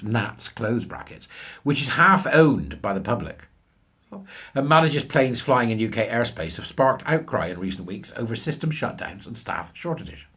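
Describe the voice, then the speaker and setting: A middle-aged British man giving sarcastic, incredulous, colloquial commentary on a news article in a husky voice. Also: medium pitch, a bit bright. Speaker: commentary show host.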